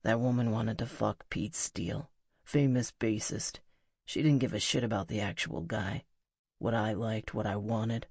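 A woman voicing a cocky, teasing young male rock musician in an audiobook, speaking in an intimate, unhurried way. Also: very deep voice, gravelly, darkish tone, dry recording, clean studio sound, voice pushed down, exaggerated voice. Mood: low, frank. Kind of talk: audiobook character dialogue.

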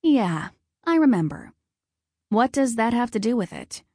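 A woman's voice: on the high side, very animated, a little slow, dark tone, dry recording, studio quality, heavily acted. A woman voicing a wary, sarcastic young heroine who talks in a blunt, skeptical way.

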